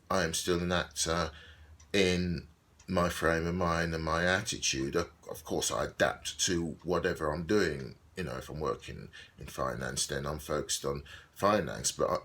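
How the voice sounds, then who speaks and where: A man, an actor, talking through his thoughts in a video diary, with a slightly croaky voice and a musing, repetitive way of speaking. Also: deep voice, moderate pace, fairly bright tone. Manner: musing, repeats himself, digresses. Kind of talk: reflective casual monologue.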